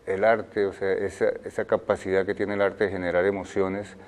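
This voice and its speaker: Older guy, really deep voice, talks slow and thoughtful with lots of pauses. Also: level tone. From Colombia. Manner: thoughtful, halting, many fillers and restarts. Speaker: guest, film director. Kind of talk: interview answers.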